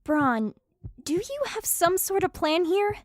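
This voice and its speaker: A woman plays a protective fantasy heroine with a high voice, recorded very dry. She is bold and sarcastic, speaking in a pointed, challenging way in an audio drama. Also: sing-song, slow pace, dry recording, heavily acted. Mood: wary, pointed.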